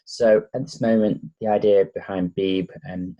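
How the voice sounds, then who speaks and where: A man with a Lancashire accent gives a relaxed, casual tech walkthrough, with frequent ums and pauses. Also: on the low side, dull audio. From Blackpool, North West England. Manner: relaxed, hesitant with many fillers. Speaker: tech reviewer. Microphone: laptop microphone.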